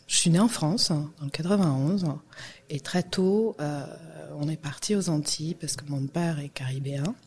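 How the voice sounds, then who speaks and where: A woman's voice: low-pitched, moderate pace, darkish tone, husky. A middle-aged woman from Guadeloupe, a music industry guest, talking casually in an interview with many fillers and restarts.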